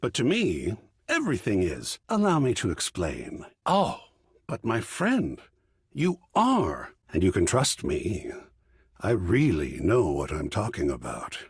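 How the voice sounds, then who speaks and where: A man's voice: low-pitched, wildly swooping pitch, unhurried, slightly rough, no echo, studio quality, exaggerated voice. A man voicing a whimsical, pretentious living artwork in a video game, with a theatrical, florid and effusive manner. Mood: musing. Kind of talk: video game character acting.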